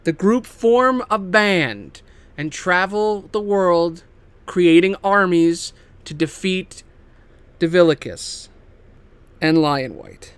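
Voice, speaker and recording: A man giving a casual, semi-improvised story pitch as a host, emphatic and theatrical, swearing casually and dropping into offhand asides. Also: takes it slowly, clear, resonant tone, dry recording. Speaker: host pitching video game movie adaptations.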